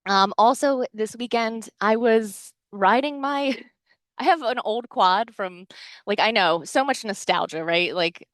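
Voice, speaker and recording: A woman's voice: a little high, a little slow, no room sound. Chatty American woman, dry close sound. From New Jersey shore, United States. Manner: chatty, encouraging, conversational asides with frequent "right?". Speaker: wellness podcast host. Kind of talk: casual motivational chat.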